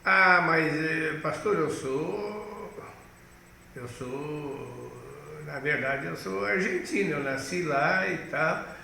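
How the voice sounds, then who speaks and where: An eager young male student who stumbles over his words as he asks his teacher questions, as a pastor puts him on in his own bright voice. The sound has a heavy room echo and a faint hiss. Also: a little high, slow pace, creaky, exaggerated voice. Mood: questioning.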